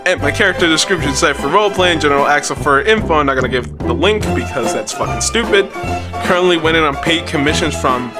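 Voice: mid-range voice, quick pace. A giggly person doing a mocking, dramatic comedic reading of text from the internet. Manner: giggly, often breaking up while reading. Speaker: online video maker reading a text aloud. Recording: music behind the voice. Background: music.

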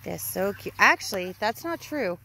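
A woman's voice: medium pitch, very animated, normal speed, gravelly, noisy background. A woman casually narrating a walk around her farm on a phone camera, chatty and cooing sweetly to her animals. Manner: chatty, talks sweetly to animals, unsure of breeds. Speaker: vlogger touring her farm. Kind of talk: casual walking tour narration. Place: outdoors on a farm among animals. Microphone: phone camera held while walking.

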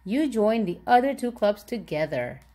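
A woman voicing a kindly, encouraging schoolteacher in a storybook, supportive and measured. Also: mid-range voice, big pitch swings, warm tone, natural voice. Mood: approving.

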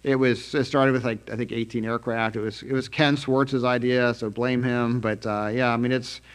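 A middle-aged man giving an unscripted press briefing from slides at a trade expo, halting often with fillers and restarts. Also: medium pitch, little pitch movement, smooth. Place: conference room at a trade expo.

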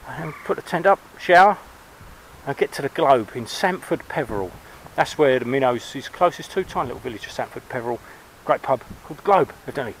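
A man's voice: fairly high pitch, rough recording. A man in his forties from England, chatting to an action camera while riding along country roads and a canal towpath. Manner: chatty, upbeat, rambling asides. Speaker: cycle touring vlogger. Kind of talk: casual talk to camera while cycling. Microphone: action camera on the bike or held at arm's length. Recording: outdoor action-cam audio with wind noise.

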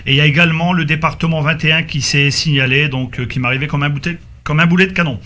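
Bright-voiced man on the radio. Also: fairly high pitch, fairly even intonation, fast talker. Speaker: amateur CB radio operator. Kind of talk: radio contact chat with call signs and spelling alphabet.

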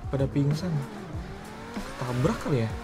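Male gamer, relaxed conversational roleplay chat, medium pitch, prominent music and vehicle noise underneath. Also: unhurried. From Indonesia. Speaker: gaming streamer, roleplay player. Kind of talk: casual in-game roleplay chat. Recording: loud music and car sounds behind. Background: music, game vehicle sounds.

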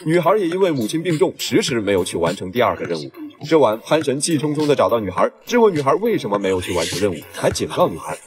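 A man's voice: medium pitch, big pitch swings, fast talker. A man narrating a film recap in a scripted storytelling style, as the regular narrator.

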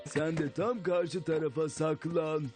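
A man voicing a goofy, eager wolf sidekick villain in a children's cartoon, dim and excitable. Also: fairly high pitch, a little slow, over loud music, natural voice. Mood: eager, urgent.

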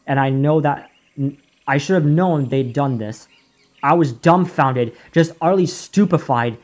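Man slowly reading a creepy story. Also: a little high. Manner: slow, deliberate reading with occasional stumbles. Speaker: narrator.

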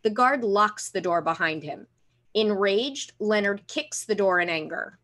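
A woman's voice: mid-range voice, expressive, measured pace, fairly bright tone. A young adult woman reading screenplay stage directions as scripted narration on a video call. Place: room at home, video call.

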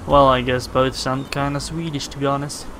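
A man's voice: medium pitch, flat delivery, moderate pace, slight background noise. A man casually commenting on gameplay, thinking aloud with many fillers. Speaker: gaming channel host. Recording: voice over loud game music. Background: game music.

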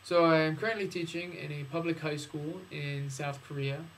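Guy english teacher giving a formal careful video intro, voice a bit high, some background noise. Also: fairly even intonation, moderate pace, a bit bright, low-quality recording. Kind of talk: video introduction of own classes, prepared talk.

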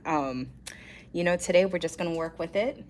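A woman hosting a weekly nail art livestream, nasal and congested from a cold, with a scratchy voice, chatting casually and clearing her throat now and then. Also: lowish voice, moderate pace, a little mellow.

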